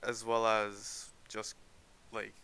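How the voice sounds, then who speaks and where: Teen male co-host from Canada, bright crisp voice, casual hesitant chat with restarts. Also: fairly low pitch, completely flat delivery, slow pace. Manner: hesitant, restarts often, laughs while explaining. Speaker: podcast co-host.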